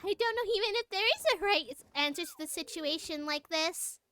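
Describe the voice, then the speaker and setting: A woman voicing a small, gentle young storybook dragon in a game, earnest and hesitant, stumbling over words. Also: very high-pitched, animated, brisk, heavily acted. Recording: music playing behind the voice.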